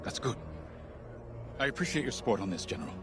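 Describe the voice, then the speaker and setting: An actor playing an earnest military commander in a space video game, measured and authoritative as if briefing pilots. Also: male voice, mid-range voice, very animated, music in the background, close to an everyday voice. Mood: businesslike.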